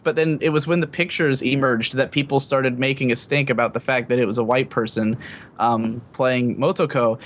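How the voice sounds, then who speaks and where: A man in a casual podcast discussion, giving opinionated, rambling takes bluntly with frequent swearing. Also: a bit bright. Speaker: podcast guest panelist. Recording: clearly noisy mic with low rumble.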